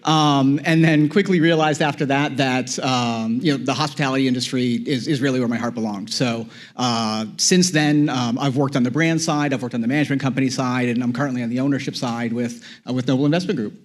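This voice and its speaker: A man from New England introducing himself as a guest on a live stage panel, relaxed and filling pauses with ums and uhs. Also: mid-range voice. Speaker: panel guest, hotel asset manager. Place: conference stage.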